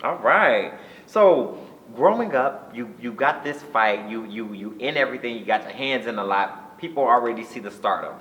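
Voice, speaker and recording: A man's voice: mid-range voice, expressive, normal speed, fairly bright tone. A man hosting an interview, playful and affirming, prompting his guest with lots of encouraging backchannel. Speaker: interviewer host. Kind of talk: casual interview. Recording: echoey room.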